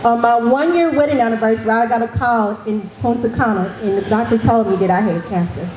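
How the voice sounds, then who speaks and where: A woman's voice: on the high side, fairly quick. A woman earnestly shares her story and urges the audience, repeating her key points. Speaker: cancer survivor speaking at an event. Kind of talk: personal testimony and advice to an audience. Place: event hall. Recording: echoey hall with faint noise.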